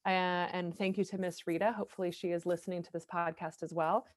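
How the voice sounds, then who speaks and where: A woman's voice: lowish voice, little pitch movement, fairly quick. Encouraging woman podcast host. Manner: upbeat, encouraging. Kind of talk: podcast interview.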